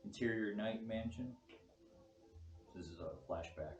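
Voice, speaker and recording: A man's voice: lowish voice, a little slow, a little mellow, recorded from a distance. A man reading stage directions aloud at a film script table read. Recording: echoey, noisy room, off mic.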